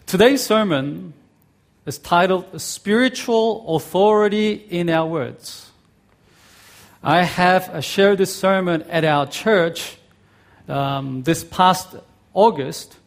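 A middle-aged man preaching a sermon, his English carrying a blend of Korean, Australian and American accents. His voice sits a little high, and he talks in a friendly, halting way, with frequent ums and uhs. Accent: mix of Korean, Australian and American. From South Korea. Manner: friendly, halting, many fillers. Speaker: guest pastor.

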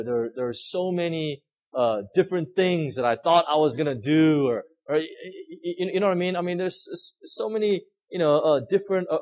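Male pastor, husky, animated pitch, rambling conversational sermon full of fillers. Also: poor audio. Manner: rambling, many fillers and repeated words, asks the audience questions.

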